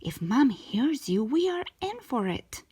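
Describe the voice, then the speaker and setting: A woman voicing an eager, anxious young girl in a children's storybook, excitable and earnest. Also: high-pitched, measured pace, slightly rough, pitched above the performer's own voice, exaggerated voice. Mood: urgent.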